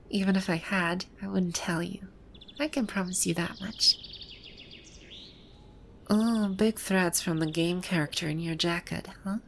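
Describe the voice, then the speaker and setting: A woman playing a proud, teasing video game villainess come to life, speaking softly in an intimate ASMR roleplay. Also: on the low side, steady tone, measured pace, long pauses, slight background noise. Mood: teasing, smug. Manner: smug, teasing, soft-spoken with breathy asides. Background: birdsong and outdoor ambience sound effects.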